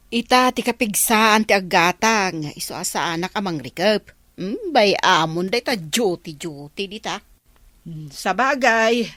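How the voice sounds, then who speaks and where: A woman's voice: medium pitch, a bit bright, no room sound. A woman playing a chatty, opinionated villager in an Ilocano comedy drama, with an Ilocano accent.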